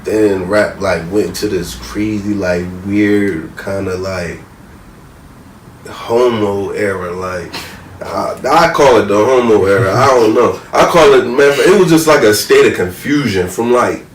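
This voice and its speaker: Low-voiced American man, slangy and echoey. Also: a little slow. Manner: slangy, profane, repetitive verbal tags. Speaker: podcast panelist. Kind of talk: casual opinionated chat. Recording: roomy, distant mic, faint noise.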